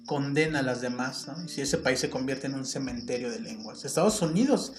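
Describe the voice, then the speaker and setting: A man with a Mexican accent talks through his views on a solo podcast, earnest and opinionated, sometimes restarting a phrase as he thinks. His voice sits a little higher than most men's. The room adds a noticeable echo, and soft plucked guitar music plays underneath. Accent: Mexican Spanish. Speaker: podcast host. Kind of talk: reflective solo podcast monologue. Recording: roomy sound with faint guitar music behind.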